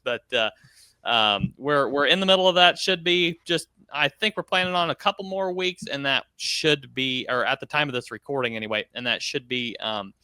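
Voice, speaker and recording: A man's voice: mid-range voice, pushed and bright, smooth, no room sound. A man hosting a podcast in a casual, conversational style. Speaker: podcast host.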